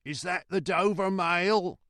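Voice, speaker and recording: A man puts on a rough rider bringing messages in a storybook. The voice is hoarse and coarse, recorded close and dry. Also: mid-range voice, measured pace, no room sound. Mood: calling out. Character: hoarse, rough male messenger on horseback. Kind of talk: dramatised storybook reading.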